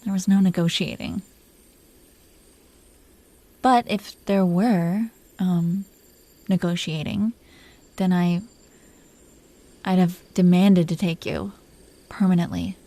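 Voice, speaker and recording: A woman playing an affectionate, possessive dragon queen in an intimate romantic roleplay audio, speaking softly and haltingly with a playful, teasing manner. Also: fairly low pitch, steady tone, no echo. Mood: possessive, softly menacing.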